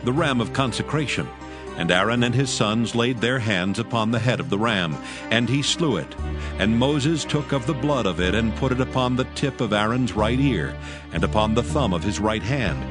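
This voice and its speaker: A man narrating scripture in a steady, reverent, measured way for a dramatized audio Bible. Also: lowish voice. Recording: narration over clear music. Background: music.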